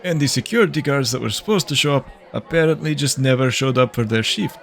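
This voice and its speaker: A senior male detective in a sci-fi audio drama, played by a man. He speaks in a measured, mentoring way, reasoning through each point. His voice has a noticeable gravelly fry. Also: mid-range voice, expressive, a faint crowd. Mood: matter-of-fact.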